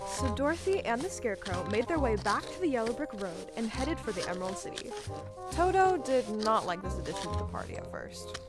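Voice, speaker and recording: A woman narrating a storybook tale in a scripted, measured way for an audio play performed on stage. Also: on the high side, big pitch swings, over music. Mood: storytelling.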